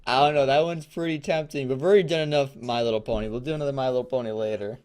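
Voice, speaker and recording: A man co-hosting a casual read-aloud show, bantering in a crude, giggly, animated way. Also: medium pitch, very fast. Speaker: co-host of a fan fiction reading show.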